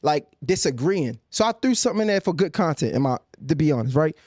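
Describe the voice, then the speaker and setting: Emphatic man in his thirties, high voice. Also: animated, quick pace, a little mellow, no echo, studio quality. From Baltimore. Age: 30s. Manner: emphatic, repeats words when pressing a point. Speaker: podcast guest, journalist. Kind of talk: heated casual conversation.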